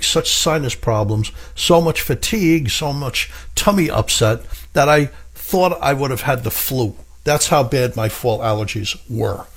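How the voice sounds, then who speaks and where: A middle-aged man with a husky voice, hosting a health radio show as a pharmacist, talking with enthusiasm and stacking up repeated phrases for emphasis. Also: mid-range voice. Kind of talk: radio health talk monologue.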